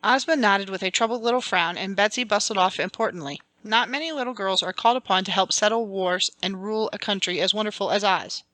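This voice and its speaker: Woman narrating a kids fairy tale audiobook, bright clear-cut tone, really dry close sound. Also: medium pitch, quick pace, hardly any pauses, no echo. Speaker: audiobook reader. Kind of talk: scripted storybook narration, dramatic reading.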